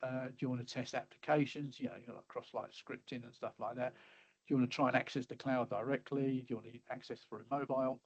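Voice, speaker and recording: Halting middle-aged man presenting a webinar. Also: mid-range voice, steady tone. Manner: halting, many fillers and restarts. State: losing his voice, clearing throat. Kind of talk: casual presentation with slides. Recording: slightly dull lo-fi audio.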